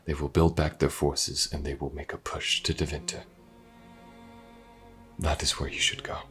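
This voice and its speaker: A man playing a sorrowful, duty-bound immortal mage in a fantasy audio roleplay, solemn, measured and tender, in a breathy, half-whispered voice. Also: rumbling low, level tone, a bit bright. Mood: grave, urgent. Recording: music behind the voice.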